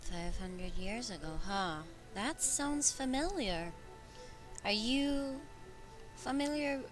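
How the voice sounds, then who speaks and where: A woman's voice: on the high side, lively intonation, takes it slowly, soft background music. A woman doing casual, chatty gameplay commentary as a live streamer, with muttered asides to herself. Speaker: live streamer playing a video game.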